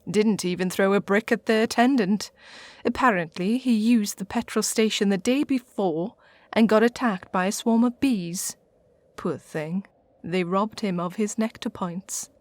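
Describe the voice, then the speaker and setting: Woman as a slow, haughty English castle witch. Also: medium pitch, dry recording, natural voice. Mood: grand, droll. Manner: arch, bossy, deliberate. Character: haughty, theatrical lady witch hosting from her haunted castle. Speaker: host. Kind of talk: radio sitcom monologue, theatrical.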